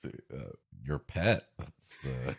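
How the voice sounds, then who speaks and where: Male comic podcaster, deep voice with lively swings, sarcastic mock-pedantic interjections. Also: very slow, gravelly, breathy edge. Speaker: podcast co-host who interrupts the story. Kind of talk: comic banter.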